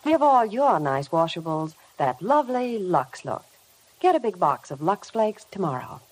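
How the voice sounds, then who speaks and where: A woman's voice: lowish voice, very animated, moderate pace, background noise. A woman delivering a scripted 1950s radio advertisement on an old broadcast. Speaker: commercial spokeswoman.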